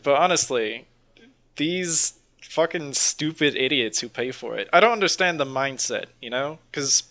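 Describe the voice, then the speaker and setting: Bright-voiced young man, sardonic podcast banter. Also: medium pitch, expressive, unhurried. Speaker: podcast co-host. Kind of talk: casual opinionated banter.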